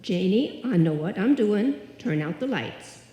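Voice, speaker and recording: A woman acts out an imperious older Irish landlady for a radio comedy. Her voice is mellow and swings widely, crooning and theatrical. It rings in an echoey live hall. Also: medium pitch, expressive, measured pace, natural voice. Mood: bossy. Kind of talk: live old-time radio comedy acting.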